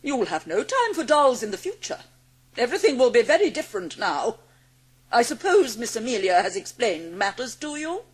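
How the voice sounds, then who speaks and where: A woman playing a cruel, cold-hearted schoolmistress in an audiobook drama, imperious and scornful, with drawn-out emphasis. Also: on the high side, very animated, slightly rough.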